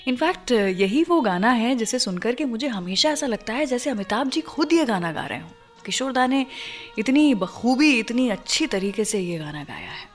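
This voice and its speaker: A woman's voice: fairly high pitch, animated, normal speed. Indian woman radio host over background music. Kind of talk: conversational storytelling radio presenting. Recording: music bed behind the voice.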